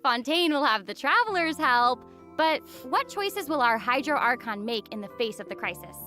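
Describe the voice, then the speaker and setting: A young woman presenting a game showcase with enthusiastic scripted banter and a gushing, animated manner, over light music. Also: sits high, normal speed.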